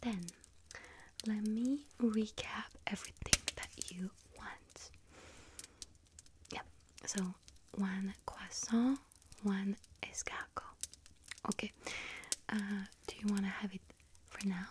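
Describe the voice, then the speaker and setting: A woman playing a friendly, scatterbrained young witch serving a bakery customer in an ASMR roleplay, hesitant, apologetic and gently chatty. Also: mid-range voice, steady tone, takes it slowly, pauses often, noisy background, lightly acted. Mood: helpful, gentle. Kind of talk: ASMR roleplay, soft whispering.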